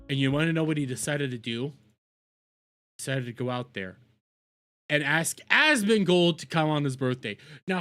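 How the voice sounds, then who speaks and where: A man's voice: fairly high pitch, pushed and bright. A man doing casual live-stream commentary, rambling, repeating himself and swearing freely. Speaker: streamer reacting to videos.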